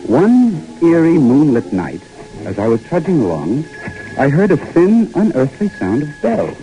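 An earnest young English peddler. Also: male voice, fairly high pitch, huge pitch swings, a little slow, warm tone, rough recording. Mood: earnest narration. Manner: earnest, good-humored storyteller. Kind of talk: 1950s radio drama acting. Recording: old radio broadcast with faint music.

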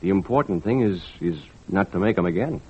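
A kindly, forgiving fisherman in an old radio play. His low voice swoops expressively as he gives fatherly reassurance. The recording is thin and muffled. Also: animated, a little fast, husky. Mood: warm, forgiving. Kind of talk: 1950s radio drama acting.

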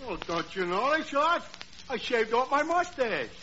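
High, dim-witted earnest oaf. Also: male voice, big pitch swings, measured pace, bright, forceful tone, exaggerated voice. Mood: earnest, befuddled. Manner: hesitant, oafish and sincere. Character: dim-witted, earnest, slow-thinking male tavern regular. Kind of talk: 1940s radio comedy acting. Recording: very noisy, dull old radio recording.

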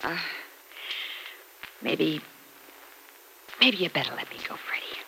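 A woman's voice: low-pitched, sing-song, slow, vocal fry, sharp tone, in a whisper, exaggerated voice. A woman playing a wistful, teasing working woman in love, plain-spoken and slangy, in a 1940s radio soap. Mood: wry, wistful. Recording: noisy vintage radio drama recording.

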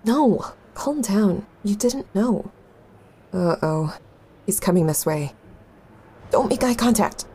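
Husky, protective best friend. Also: female voice, medium pitch, expressive, takes it slowly, pauses often, mellow, exaggerated voice. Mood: conspiratorial. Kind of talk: comedic audio drama acting.

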